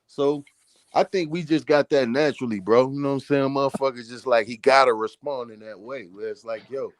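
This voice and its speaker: A man in his forties holds forth in a loose boxing conversation at an ordinary pitch for a man. His talk is heavy on slang and swearing, and it stays animated as he slips into the voices of the people he quotes. It sounds like a co-host riffing with friends. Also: fairly quick. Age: 40s. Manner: acts out quoted remarks. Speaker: podcast co-host. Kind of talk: casual boxing chat.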